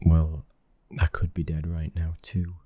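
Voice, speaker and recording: A British man playing a loving, dominant young mafia heir in an intimate ASMR boyfriend roleplay, speaking close to the mic in a breathy, husky voice. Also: very deep voice, completely flat delivery, unhurried, dry recording. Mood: teasing, tender. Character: smooth-talking, loving, dominant young mafia boss's son. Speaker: audio roleplay voice actor. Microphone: close microphone.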